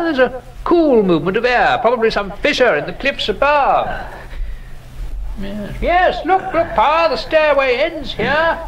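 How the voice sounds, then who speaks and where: An actor playing a curious, skeptical gentleman antiquarian in a horror audio drama, erudite and exclamatory, musing aloud as he inspects things. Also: very high-pitched, slight background noise, heavily acted, poor audio.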